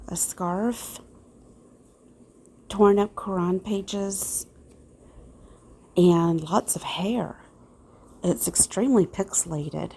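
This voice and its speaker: A woman's voice: mid-range voice, mellow. A woman playing an earnest, suspicious private investigator in an old-time radio style drama. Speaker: investigator in an amateur audio drama.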